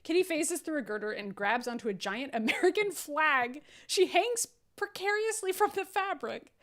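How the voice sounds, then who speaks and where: A woman hosting a table read, narrating stage directions in an animated way with sarcastic, jokey, sweary asides. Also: sits high, moderate pace. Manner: jokey, swearing, adds silly sound effects.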